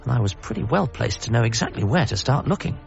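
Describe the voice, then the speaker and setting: An actor playing an anxious, grieving adult son in a radio comedy drama, with a wry, hesitant and apologetic manner. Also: male voice, medium pitch, sing-song, fast talker, gravelly, lo-fi sound, soft background music. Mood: reflective.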